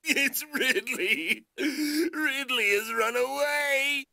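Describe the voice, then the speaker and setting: Whiny panicky lord in a game, sharp voice, wailing and begging, dry close sound. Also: male voice, extremely high pitch, drawn-out words, gravelly, dry recording, exaggerated voice. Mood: panicked wailing. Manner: wailing, blubbering, pleading. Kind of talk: scripted video game voice acting.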